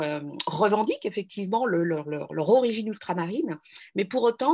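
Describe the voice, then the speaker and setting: A woman's voice: fairly high pitch, darkish tone. A middle-aged woman from France, a guest in a radio interview, speaking earnestly with frequent restarts and hesitations.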